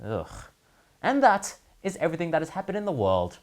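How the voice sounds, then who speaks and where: A male host delivers scripted comedic commentary in a very animated voice. His pitch rises and falls widely through each sentence. He is sardonic throughout, setting up and landing punchlines with mock seriousness. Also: a little fast. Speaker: host of a gaming news segment.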